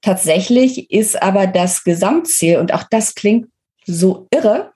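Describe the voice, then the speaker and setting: A woman's voice: mid-range voice, slow pace, warm tone. A woman, explaining things as an interview guest in an emphatic, colloquial and articulate way. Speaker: guest author interviewed about her book. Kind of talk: conversational podcast interview, explanatory.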